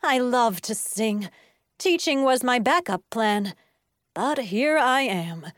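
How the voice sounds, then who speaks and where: Woman acting a wistful schoolteacher, theatrical, confiding, slow pace, swooping intonation, storybook. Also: medium pitch, sing-song, no room sound, studio quality. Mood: wistful, hopeful. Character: wistful, oversharing woman schoolteacher with stage dreams.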